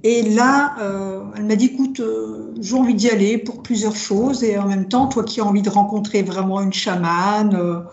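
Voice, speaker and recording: A woman born in France to Italian parents, with Italian as her first language, telling her story slowly with many pauses and fillers. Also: mid-range voice, fairly even intonation, a little mellow. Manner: hesitant, many fillers, reflective. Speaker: drum maker, guest. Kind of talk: casual interview, personal story. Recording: roomy, dull lo-fi sound.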